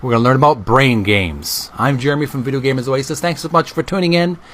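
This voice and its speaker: A man hosting a casual vlog, talking and reading aloud in an earnest, deliberate way with drawn-out emphasis. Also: medium pitch, fairly bright tone. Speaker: video host. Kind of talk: casual vlog narration with book read aloud.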